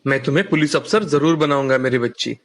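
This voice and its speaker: A man playing a humble, caring village father in a children's animated story, earnest and gentle in manner. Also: mid-range voice, quick pace, lightly acted. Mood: affectionate.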